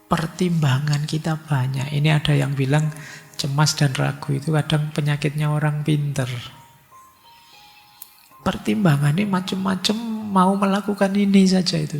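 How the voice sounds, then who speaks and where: A man's voice: a little high, vocal fry, big-room sound. A man giving a chatty religious lecture, slipping in words and acting out people's worried thoughts.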